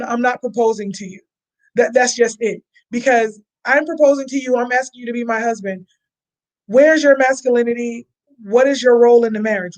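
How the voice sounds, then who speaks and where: A woman's voice: fairly high pitch, low-quality recording. A woman on a church discussion panel, speaking in an emphatic, opinionated way.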